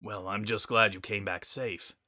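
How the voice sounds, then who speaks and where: A man voicing a mild, caring, gently inquiring father pony in a storybook reading. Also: on the low side, fairly even intonation, a little slow, dry recording, studio quality. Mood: gentle.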